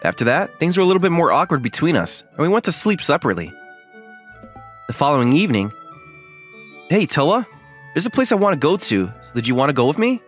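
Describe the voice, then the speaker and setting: Man acting an animated, earnest young anime guy. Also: a little high, fast talker, long pauses, faint music behind. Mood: narrating, then considerate. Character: earnest, easily flustered young man, considerate and shy. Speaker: voice actor and narrator in a manga dub. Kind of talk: acted dialogue and first-person narration.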